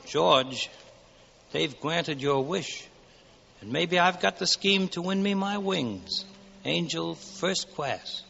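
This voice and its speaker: Creaky, inquisitive old-radio angel. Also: male voice, fairly high pitch, animated, slow, pushed and bright, full character voice. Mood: scheming, pleased. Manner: questioning, prodding. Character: eager, quaint second-class male angel, inquisitive and kindly. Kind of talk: 1940s radio drama acting. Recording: muffled, noisy 1940s radio recording.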